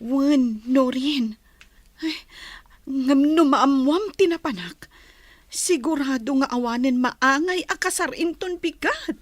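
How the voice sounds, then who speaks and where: A woman's voice: high-pitched, takes it slowly, no echo, studio quality. A woman playing an earnest young friend with urgent news in an Ilocano radio drama, with a Filipino accent.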